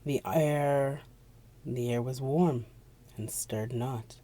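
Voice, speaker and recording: Narrator of unclear sex, gothic horror dramatic reading, medium pitch, soft dark tone, theatrical, breathy near-whispers at times. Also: fairly even intonation, takes it slowly.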